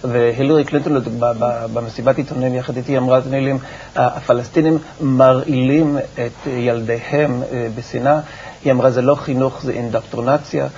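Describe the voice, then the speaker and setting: A creaky-voiced man answers as an expert guest on a TV interview. He explains in a halting, repetitive way, and the broadcast sound is dull with slight noise. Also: mid-range voice, fairly even intonation, a little mellow. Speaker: research institute director, interview guest. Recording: muffled, noisy TV broadcast recording.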